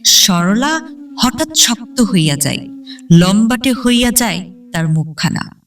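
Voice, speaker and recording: A woman's voice: medium pitch, animated, slow pace, far from the mic. A woman reading literary narration in a dramatic, scripted audio-story style. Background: background instrumental music.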